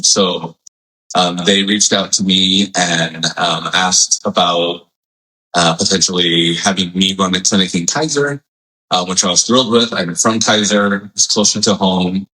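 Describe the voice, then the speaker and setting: Deep-voiced, bright-toned man in easy interview talk. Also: steady tone, measured pace, poor audio. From Hawaii. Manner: friendly, easygoing, with fillers. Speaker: physical therapist guest. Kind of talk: casual interview.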